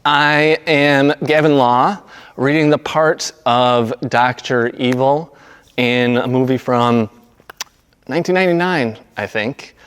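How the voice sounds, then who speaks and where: A man's voice rings in a long reverb. He has a smooth, resonant tone at an average pitch. His delivery is halting and understated, in the natural manner of an amateur actor reading a monologue. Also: a bit bright. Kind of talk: casual intro, then understated monologue reading.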